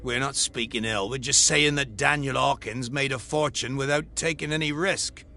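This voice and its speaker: A bright-voiced chatty townsman. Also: medium pitch, steady tone, moderate pace, vocal fry.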